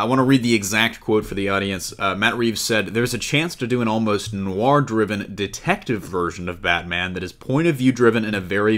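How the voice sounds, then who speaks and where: Male podcast host, fairly low voice, chatting informally about comic book films, circling back over his phrases. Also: a bit bright. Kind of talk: casual fan discussion of comic book films.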